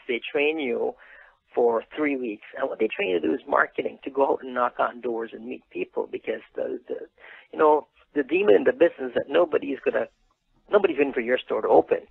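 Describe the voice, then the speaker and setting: Middle-aged Guyanese male interviewee, husky and creaky, conversational storyteller, heard over a narrow phone line. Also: mid-range voice, lively intonation, brisk, dry recording. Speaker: interview guest, print business owner. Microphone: phone call.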